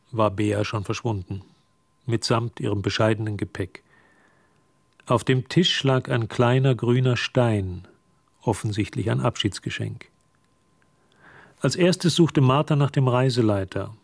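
A man's voice: mid-range voice, a little slow, gravelly, no echo. A man giving scripted literary narration in a German radio drama.

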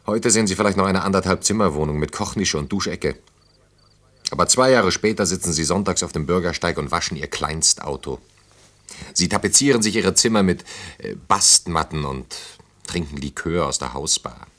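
A disillusioned man of about thirty in a German radio play. His voice sits deep and low, with a dry, ironic delivery that teases gently and muses sceptically. The style is conversational and naturalistic. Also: moderate pace. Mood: sardonic musing.

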